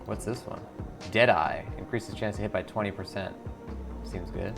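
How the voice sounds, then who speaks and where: A man's voice: lowish voice, moderate pace, vocal fry, music in the background. A man hosting a game playthrough, moving between casual comments and storyteller-style narration of the on-screen text. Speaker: gaming series host.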